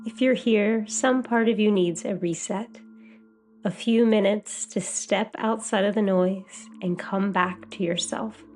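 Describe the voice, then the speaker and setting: A woman guiding a meditation as a hypnotherapist, with a soothing, gentle, unhurried delivery. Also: medium pitch, steady tone. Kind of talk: guided meditation.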